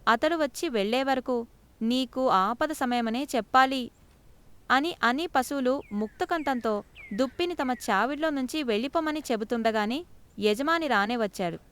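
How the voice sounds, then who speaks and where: A woman reads a children's moral tale in a smooth, resonant voice that sits fairly high. The delivery is scripted storytelling. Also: a bit bright, no room sound.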